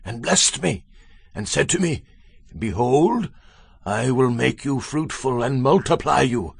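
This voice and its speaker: An actor playing a frail, dying old Hebrew patriarch in a dramatized scripture reading, tender and solemn, with pleading, drawn-out phrases. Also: male voice, medium pitch, expressive, gravelly, slightly rough, no echo, exaggerated voice. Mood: solemn, reminiscing.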